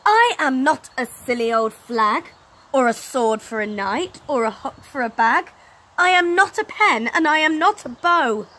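A woman's voice: sits high, expressive, measured pace, bright, forceful tone, pitched above the performer's own voice, exaggerated voice. A woman voicing a small living stick man from a storybook, indignant and pleading, with emphatic protests. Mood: exasperated.

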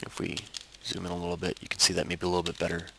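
A man narrating a software tutorial as an instructor, explaining things step by step. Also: low voice, monotone, racing, gravelly, slight background noise.

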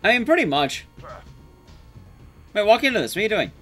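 Male game streamer, young, lively swings in pitch, sarcastic and sweary, music underneath. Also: brisk. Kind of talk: casual live stream commentary while playing.

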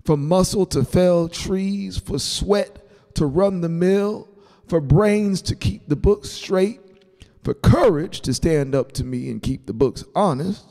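A man voicing an elderly grandfather in a storybook, sentimental and grateful, speaking tenderly and haltingly with a creaky voice. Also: high voice, pitched above the performer's own voice, exaggerated voice. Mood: heartfelt. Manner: halting, tender, soft-spoken. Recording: long hall reverb on the voice.

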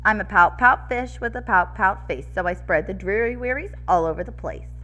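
A woman's voice: medium pitch, normal speed, sharp tone, lo-fi sound, soft background music, natural voice. A woman voicing a pouting male fish from a picture book, chanting in rhyme. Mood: glum, resigned.

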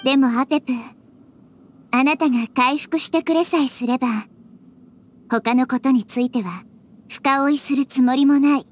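A woman voicing a gentle, wise little girl deity in an anime-style game, calm, composed and earnest. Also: sits high, normal speed, long pauses.

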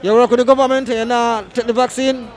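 A woman with a Jamaican accent works the street as an interviewer and fires questions fast in a high voice. She presses people with quick follow-ups and closes with upbeat sign-offs. She speaks into a handheld mic outdoors in town. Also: level tone, a little mellow, soft background music, a faint crowd. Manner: quick probing questions, show sign-off catchphrases. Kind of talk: vox pop interviewing.

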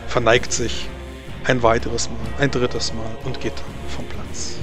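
A man's voice: medium pitch, flat delivery, slow pace. A man narrating a scene as game master of a tabletop roleplaying game. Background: music.

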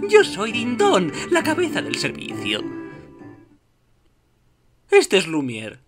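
A man's voice: high-pitched, wildly swooping pitch, takes it slowly, over music, full character voice. A man voicing a fussy, pompous, anxious talking clock butler in a cartoon dub, formal in manner, with a Castilian Spanish accent. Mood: obsequious. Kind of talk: cartoon fandub audition.

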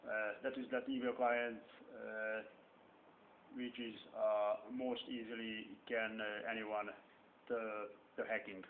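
A man in his thirties with a Hungarian accent, a native Hungarian speaker, speaking haltingly with many pauses into a webcam in a small room. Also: lowish voice, little pitch movement. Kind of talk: webcam warning message. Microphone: built-in webcam mic. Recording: tinny lo-fi webcam audio with faint hiss.

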